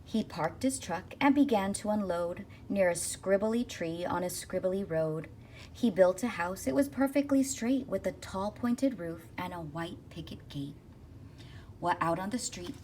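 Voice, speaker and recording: A woman reading a rhyming children's picture book aloud expressively, with rhythmic, deliberate phrasing. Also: fairly low pitch, a little mellow. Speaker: school counselor reading aloud. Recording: distant mic with faint noise.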